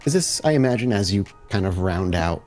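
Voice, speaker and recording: A man working as game master, narrating a tabletop roleplay in deliberate, cinematic phrases. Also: on the low side, lively intonation, a little mellow, faint music behind.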